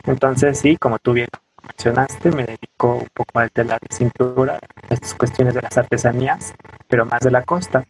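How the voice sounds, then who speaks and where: A man's voice: medium pitch, fairly even intonation, moderate pace, faint music behind, poor audio. Young Mexican man in conversational interview. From coastal Oaxaca, southern Mexico. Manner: explanatory, often ending phrases with a questioning tag. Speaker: podcast guest, student and weaver.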